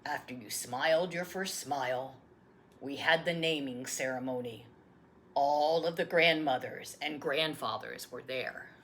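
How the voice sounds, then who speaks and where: A woman voicing a gentle old grandfather who tells a tale slowly, gravely and softly in a storybook read-aloud. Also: extremely low pitch, a little hiss or hum, pitched below the performer's own voice, exaggerated voice. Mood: gentle, solemn. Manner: slow, grave, hushed storytelling.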